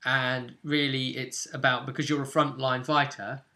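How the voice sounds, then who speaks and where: A man's voice: mid-range voice, flat delivery, normal speed, bright, forceful tone. A man hosting as game master, casually recapping a tabletop role-playing session in an informal, storytelling way. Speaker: game master and channel host.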